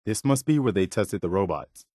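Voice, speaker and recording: An actor playing an earnest, dutiful militia soldier in a post-apocalyptic video game, speaking in a plain, folksy way. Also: male voice, fairly low pitch, expressive, normal speed, warm tone, no room sound, clean studio sound. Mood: earnest, wary. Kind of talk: video game companion voice lines.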